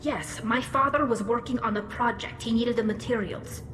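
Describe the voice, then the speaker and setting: Earnest young alien engineer, echoey. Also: female voice, on the high side, moderate pace, fairly bright tone. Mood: defensive, upset. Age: young adult. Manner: earnest, precise. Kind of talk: video game cutscene acting. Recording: echoey hall, noisy ambience behind.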